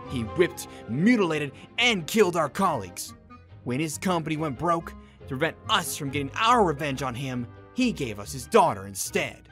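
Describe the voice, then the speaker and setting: A vengeful, rough farm worker, acted by a man, pitched a little high and very animated. He sounds aggrieved and agitated, a desperate thug in a dramatic comic dub, with music clearly playing behind him. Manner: agitated, aggrieved, forceful outbursts.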